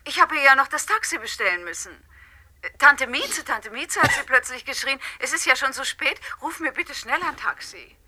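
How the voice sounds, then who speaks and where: An actress playing a chatty, self-assured aunt in a German radio play, talking in an animated, brisk manner. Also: female voice, high-pitched, heavily acted. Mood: animated, recounting.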